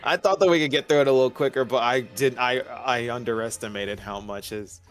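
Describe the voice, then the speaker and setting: A man's voice: medium pitch, fairly quick, faint music behind. A man chatting casually as a livestream guest, easygoing and lighthearted. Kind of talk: casual chat.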